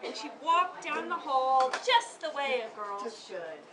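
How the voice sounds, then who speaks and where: A woman reading her story aloud to a live audience in a theatrical, interactive way, with drawn-out emphasis and questions to her listeners. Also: sits high, very animated, a crowd in the background. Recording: distant mic with audience noise.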